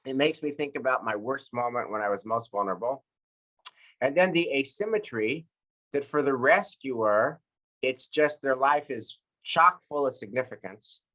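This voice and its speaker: A man's voice: medium pitch, expressive, moderate pace, sharp tone, poor audio. An American man leading a group book discussion in an earnest, reflective way, often repeating and rephrasing his points.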